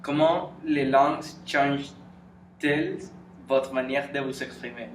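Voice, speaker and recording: Man, interviewer, formal slow questions, distant mic, echoey room. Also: mid-range voice, steady tone, a little hiss or hum.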